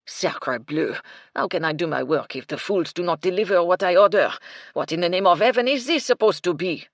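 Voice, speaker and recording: A woman's voice: fairly low pitch, animated, fairly quick, no echo, studio quality. A woman voicing a temperamental male French chef with a French accent, blustering and dramatic. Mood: indignant.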